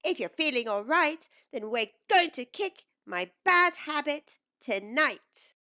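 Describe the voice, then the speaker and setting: An earnest, sweet-toothed beaver from a picture book, as a woman acts him out. His voice is high and very animated, with emphatic phrasing. The recording is slightly dull. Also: slow pace, exaggerated voice. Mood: determined.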